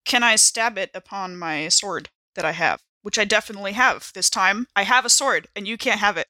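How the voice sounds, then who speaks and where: A woman's voice: mid-range voice, a little fast, sharp tone, no room sound. A woman playing a tabletop game, chatting casually in a playful, exclamatory way.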